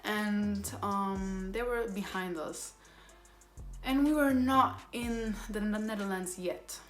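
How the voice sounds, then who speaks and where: A woman's voice: medium pitch, takes it slowly. A young woman whose first language is Somali tells a rambling, exasperated story in a casual storytime style and keeps correcting herself. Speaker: vlogger. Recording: roomy echo with faint background music.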